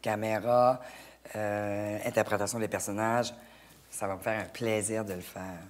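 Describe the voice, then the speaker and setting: A woman's voice: rumbling low, fairly even intonation, unhurried. A middle-aged woman from Canada, an actress chatting as an interview guest, often stumbling and repeating her words as she talks. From Acadia, Canada. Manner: hesitant, restarts and repeats words. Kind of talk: casual interview chat.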